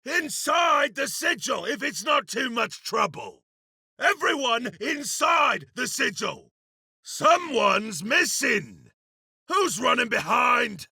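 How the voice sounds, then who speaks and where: A battle-hungry video game berserker, with a hard-edged, piercing bright voice pushed high. He is cocky and gruff, barking his lines through a raspy throat. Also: no echo, clean studio sound, heavily acted. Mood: commanding. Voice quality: gravelly, rough. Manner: forceful shouted battle barks.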